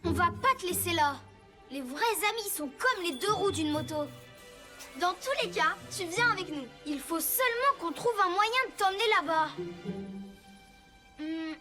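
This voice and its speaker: Very high-pitched eager kid motorbike. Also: female voice, normal speed, over music. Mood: earnest. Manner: excitable, earnest. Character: eager, loyal young child motorbike. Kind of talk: French cartoon dub acting.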